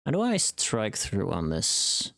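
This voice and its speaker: Rambling, lively-pitched streamer thinking aloud. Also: slow, gravelly. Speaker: streamer recording a voice pack. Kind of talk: casual livestream chat, talking through tasks.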